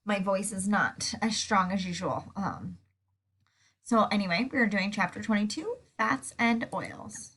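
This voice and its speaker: Woman lecturer with a cold, stuffy and sore-throated, bright tone, easygoing with frequent ums. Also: mid-range voice, a little slow, husky. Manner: relaxed, with many fillers. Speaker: food science teacher. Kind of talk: recorded lecture over slides.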